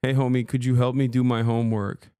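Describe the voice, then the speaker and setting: A man's voice: medium pitch, little pitch movement, brisk, dark tone, clear, resonant tone, no echo. Dry-sounding man teasing his chat. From Turkey. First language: Turkish. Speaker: streamer host. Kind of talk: casual live-stream reaction chat.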